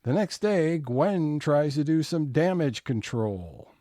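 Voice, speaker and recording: A man reads scripted scene-setting narration. His voice sits a little high for a man and moves with animated pitch. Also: slow pace.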